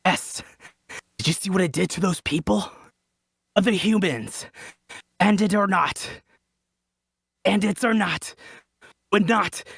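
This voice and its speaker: An actor playing a defiant, foul-mouthed bandit survivor in a dramatic table read, husky and blunt in delivery. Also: mid-range voice, slow pace, long pauses, fairly bright tone, no echo, clean studio sound, heavily acted. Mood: defensive, exasperated. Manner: blunt, emphatic, combative.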